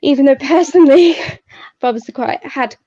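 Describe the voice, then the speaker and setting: A woman hosting an event, talking reflectively and informally in a thoughtful, halting way. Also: high-pitched, warm tone. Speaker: event host and poet. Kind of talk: reflective informal talk to an audience. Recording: echoey, slightly muffled sound.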